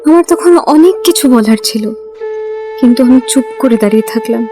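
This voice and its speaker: A woman's voice: on the high side, lively intonation, moderate pace, lightly acted. A woman playing a determined young woman who narrates her own story, dramatic and heartfelt. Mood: sorrowful. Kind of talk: scripted first-person story narration. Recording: music behind the voice.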